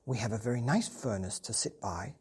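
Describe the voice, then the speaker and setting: Eager small boy for a children's story , played by a woman, earnest, kindly, breathy and animated. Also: extremely low pitch, a little fast, pitched below the performer's own voice, exaggerated voice. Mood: kind, inviting. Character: eager, kind little boy who dreams of flying.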